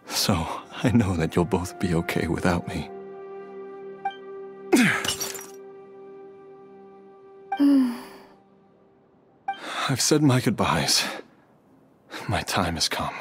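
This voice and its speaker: A man voicing a gentle, resigned middle-aged husband and father near death in a game, speaking softly and haltingly. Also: low-pitched, huge pitch swings, soft background music, exaggerated voice. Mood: tender. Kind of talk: game voice acting.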